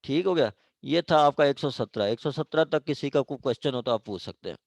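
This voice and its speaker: A man's voice: mid-range voice, lively intonation, brisk, creaky, no room sound. A man with a Pakistani accent giving an informal law lecture with examples, explaining step by step, often checking understanding and switching into English legal terms.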